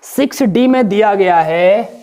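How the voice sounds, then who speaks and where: A man with a hoarse, strained throat talks through a math lesson at a steady, patient pace. He says each number twice and keeps asking his students what comes next. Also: sits high, measured pace. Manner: explains step by step, repeats each value, asks the class questions. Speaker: math teacher.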